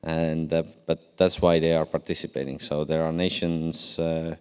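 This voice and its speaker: A man from Estonia answering on a conference panel, speaking haltingly with many fillers and restarts. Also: extremely low pitch, level tone, darkish tone, clear, resonant tone. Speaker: deputy cyber commander on a panel. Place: large conference hall. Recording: long hall reverb.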